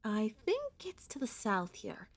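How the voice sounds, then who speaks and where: A woman voicing a gentle, wistful and anxious young centipede monster girl in an ASMR audio roleplay, speaking softly and hesitantly in a conversational way. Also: fairly high pitch, wildly swooping pitch, unhurried, vocal fry, exaggerated voice. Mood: calm, reminiscing.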